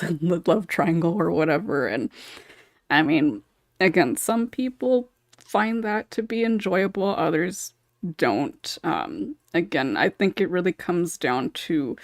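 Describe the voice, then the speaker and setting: A woman's voice: on the low side, no echo, clean studio sound. A woman talking casually in a panel discussion, thinking aloud and hedging as she goes. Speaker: podcast panelist.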